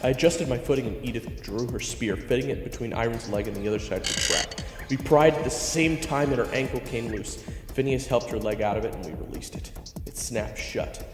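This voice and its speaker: A man's voice: mid-range voice, fairly quick, hardly any pauses, close to an everyday voice. A man playing a loyal, hot-headed swordsman who narrates a fantasy story in the first person, with dramatic emotion. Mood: tense narration. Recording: echoey, reverberant, music underneath.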